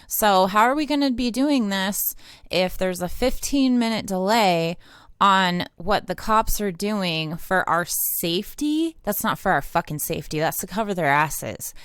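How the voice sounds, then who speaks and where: A woman's voice: mid-range voice, expressive, a little slow, fairly bright tone, smooth, dry recording, clean studio sound. An American woman giving impassioned, unscripted political commentary, blunt and indignant, swearing freely. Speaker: independent online political commentator and activist.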